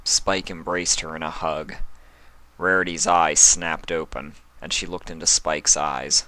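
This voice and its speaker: Guy narrating a story, really low voice, dry close recording. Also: little pitch movement, unhurried, sharp tone, no room sound, rough recording. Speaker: narrator reading fan fiction.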